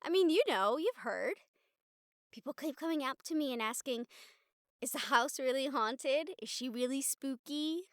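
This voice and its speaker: Woman acting as sweet college girl medium, high-pitched, chatty and earnest, halting delivery, audio roleplay, very dry sound. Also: animated, dry recording. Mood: excited, chatty. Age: young adult. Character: excitable, sweet young college girl, a medium befriending a ghost. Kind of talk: intimate audio roleplay addressed to the listener.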